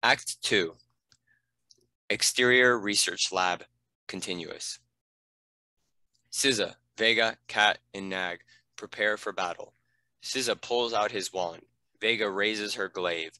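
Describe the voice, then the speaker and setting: Male narrator for screenplay directions: lowish voice, clear forward edge, scripted delivery, dry recording. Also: slow pace, long pauses, pushed and bright, no echo, rough recording. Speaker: narrator reading stage directions.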